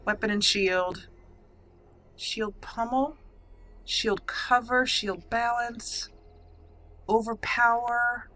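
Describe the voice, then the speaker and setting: A woman's voice: fairly high pitch, fairly even intonation, very slow, long pauses, pushed and bright. A woman giving casual video game commentary as a let's play host, musing and talking through her choices. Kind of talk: casual gameplay commentary, thinking aloud.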